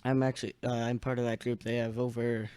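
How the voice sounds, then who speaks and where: Young male radio net host, mellow but smooth, halting casual delivery, dry close sound. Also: medium pitch, flat delivery, no echo. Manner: halting, searching for words. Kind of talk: casual radio check-in chat. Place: small indoor radio room.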